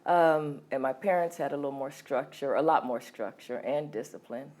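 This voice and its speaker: A middle-aged woman from California telling her life story as an interview guest, hesitant with many ums and soft laughs. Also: rumbling low, normal speed. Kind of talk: candid personal storytelling.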